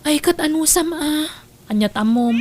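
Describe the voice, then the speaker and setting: A woman plays a kindly, sympathetic young friend in an audio drama. Her voice is high-pitched, with a little noise in the background. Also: animated, moderate pace, darkish tone.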